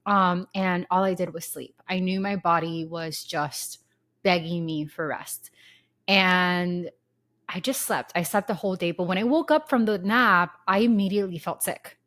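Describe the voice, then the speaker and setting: A woman's voice: fairly low pitch, measured pace, a bit bright. A woman in her thirties hosting her own podcast, talking casually and candidly with rambling asides, sounding congested from a lingering cold. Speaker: podcast host. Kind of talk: casual solo podcast talk.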